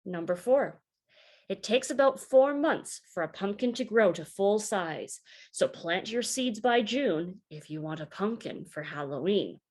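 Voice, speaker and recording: Woman, children's storybook reader, unhurried, expressive, teacherly, varied intonation. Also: mid-range voice. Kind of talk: children's story read-aloud.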